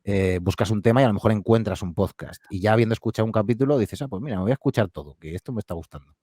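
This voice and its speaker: A man, a podcast guest, telling anecdotes in an animated, joking way. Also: fairly low pitch, racing, husky, no echo, clean studio sound. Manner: animated storyteller, jokey asides. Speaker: podcast guest, horror audio fiction creator. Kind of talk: casual interview chat.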